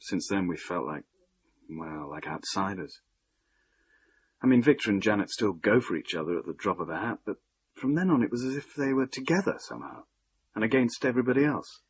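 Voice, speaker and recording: Low-voiced, earnest young man. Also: lively intonation, a little fast. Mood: troubled. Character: earnest, troubled young son-in-law caught in family feud. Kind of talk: British radio drama acting. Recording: dull, noisy radio play recording.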